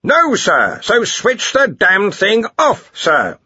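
A man's voice: big pitch swings, normal speed, sharp tone, husky, dry recording, muffled recording, full character voice. Man acting a blustering, bossy old wizard chief. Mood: roaring. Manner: argumentative, booming, impatient. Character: blustering, domineering old male wizard, head of faculty.

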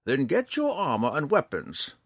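A man voicing a crafty, mischievous old ranger with brisk, theatrical, formal speech in a fantasy audiobook. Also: medium pitch, wildly swooping pitch, fairly bright tone, breathy edge, no room sound, clean studio sound, pitched above the performer's own voice, exaggerated voice. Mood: commanding.

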